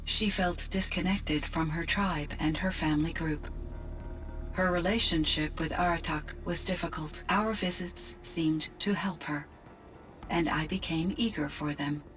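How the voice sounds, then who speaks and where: A woman voicing a calm, curious artificial intelligence in a video game, speaking in a measured, formal way with precise diction. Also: deep voice.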